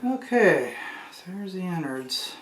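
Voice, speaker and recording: A man's voice: high-pitched, takes it slowly, heavy background noise. A man in his fifties restoring an antique radio part in his home workshop, talking to himself as he works. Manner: relaxed, talks to himself while working, cheers at small wins. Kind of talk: hands-on workshop commentary.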